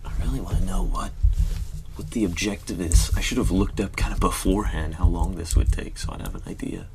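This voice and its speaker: A man's voice: low voice, sing-song, fairly quick, creaky, noisy background. A man chatting casually and rambling in a self-deprecating way while playing a computer game on a live stream.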